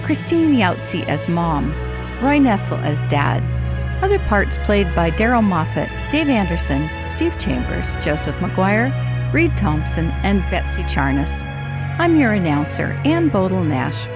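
A woman's voice: lowish voice, big pitch swings, a little slow. A woman announcer reading scripted credits for a radio drama. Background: loud music.

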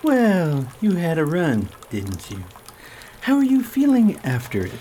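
A woman playing a pushy, crude male suitor in radio theatre, blustering and needling in rough-spoken words. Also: lowish voice, huge pitch swings, unhurried, dark tone, full character voice. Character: pushy, besotted male pest, crude and indignant.

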